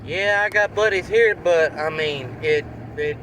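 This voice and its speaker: Guy doing casual vlog talk, slightly high voice, folksy, noisy outdoor recording. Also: a little slow, sharp tone, distant mic. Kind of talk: casual chat.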